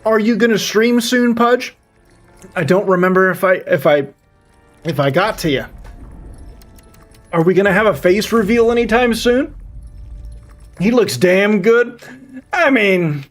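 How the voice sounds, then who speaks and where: Man, thirties, high-pitched voice, casual live-stream chat, rambling, repetitive phrasing. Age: 30s. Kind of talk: casual chat with viewers while gaming.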